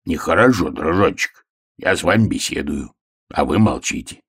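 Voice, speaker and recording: Man voicing a low, creaky, sly storybook wolf. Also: animated, a bit bright, full character voice. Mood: mockingly polite. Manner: unctuous, wheedling, drawn-out words. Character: sly, menacing male wolf, mockingly polite predator. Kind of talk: storybook character reading.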